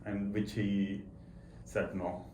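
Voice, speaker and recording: A man with a low voice and a stutter answers interview questions. He repeats words and halts as he explains himself earnestly. The room is echoey, with faint noise behind him. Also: flat delivery, takes it slowly, dark tone. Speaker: interview guest, accountant. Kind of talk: personal interview talk.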